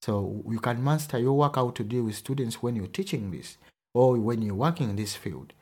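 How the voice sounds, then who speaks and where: Husky Ugandan man, earnest. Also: medium pitch, animated, moderate pace, a little mellow. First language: Luganda. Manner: earnest, emphatic, with hesitant restarts. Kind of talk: podcast conversation.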